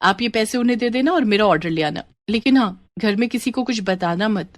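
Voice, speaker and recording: A woman voicing a young, secretive daughter-in-law in a moral story, expressive and quick with excuses. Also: mid-range voice, no room sound, natural voice. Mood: commanding.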